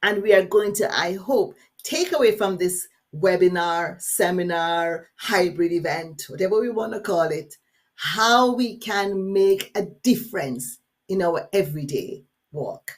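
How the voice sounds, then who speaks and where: A woman from Jamaica with a Jamaican accent, leading a live workshop webinar in an energetic, engaging way and putting questions straight to her audience. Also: fairly low pitch, a little slow. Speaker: workshop facilitator.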